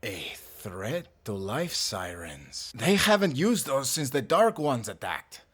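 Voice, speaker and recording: A man's voice: mid-range voice, big pitch swings, slow, fairly bright tone, breathy edge, exaggerated voice. Man acting a grave, wary supernatural. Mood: grave, uneasy. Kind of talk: audio roleplay drama acting.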